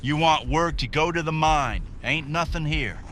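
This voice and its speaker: A man's voice: fairly high pitch, normal speed, a bit bright, a little hiss or hum, close to an everyday voice. An actor playing a surly, hostile ranch gunman in an old-time Western radio drama, folksy and threatening. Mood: hostile, warning.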